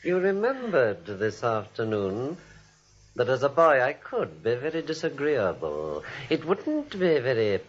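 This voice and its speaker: An actor playing a coldly domineering criminal with a deliberate menacing manner, in 1940s radio comedy style. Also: male voice, mid-range voice, wildly swooping pitch, husky, exaggerated voice. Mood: quiet menace. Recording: dull old radio recording.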